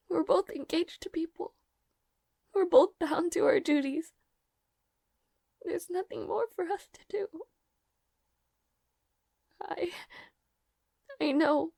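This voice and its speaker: A woman playing a devoted, lovelorn young maid in an intimate fantasy audio roleplay, speaking formally and haltingly, tearful and earnest, with soft breathy moments. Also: high-pitched, dry recording, studio quality. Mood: resigned.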